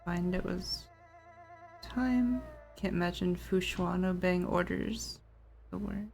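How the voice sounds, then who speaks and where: A woman giving casual, playful and expressive commentary as she streams a game. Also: on the low side, takes it slowly, gravelly, faint music behind.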